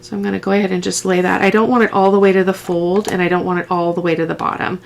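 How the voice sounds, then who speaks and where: Croaky, stuffy-voiced woman crafting. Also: fairly low pitch, level tone, fast talker. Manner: chatty step-by-step instructions with asides. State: stuffy from a head cold. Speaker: craft vlogger. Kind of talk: casual crafting tutorial.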